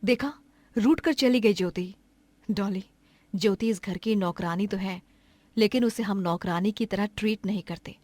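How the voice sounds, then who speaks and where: A woman voicing a kind, generous lady of the house in a cartoon moral story, chatty and gently chiding. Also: medium pitch, normal speed, no echo, close to an everyday voice.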